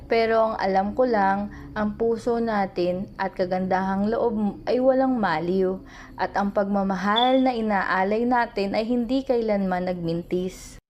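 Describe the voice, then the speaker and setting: A woman's voice: mid-range voice, a little slow, a little mellow, a little hiss or hum, natural voice. A woman acting as a proud, probing and tender mother in a radio drama, with a Filipino accent. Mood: tender, earnest. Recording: music playing behind the voice.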